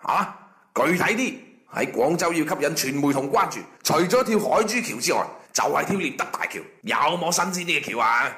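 An actor playing a stern, scornful company interviewer in a comic radio drama, formal and lecturing, with a Guangzhou Cantonese accent. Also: male voice, on the high side, animated, moderate pace, sharp tone. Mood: challenging. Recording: echoey room.

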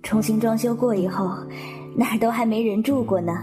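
A woman's voice: fairly high pitch, steady tone, brisk, close to an everyday voice. A woman playing a courteous, attentive inn hostess in a Chinese audio drama, with formal, deferential speech. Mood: hesitant, deferential. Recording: guitar music under the voice.

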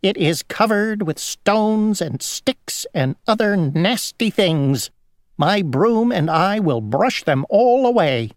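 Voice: mid-range voice, lively intonation, slow, no room sound, clean studio sound, voice pushed up. A reader voicing an obsessively tidy housefly from a children's storybook, in a busy, insistent manner. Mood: fussy, earnest.

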